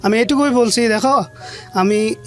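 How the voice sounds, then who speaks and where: Male interview guest, Bangladeshi accent, notably high pitch, personal storytelling over faint music. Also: unhurried. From rural Bangladesh. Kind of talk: recounting own life story in an interview.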